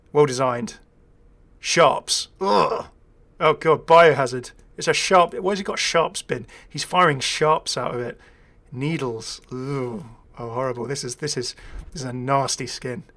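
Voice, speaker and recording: A man's voice: medium pitch, slow, fairly bright tone. Chatty man casually commentating on a game. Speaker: gaming video host.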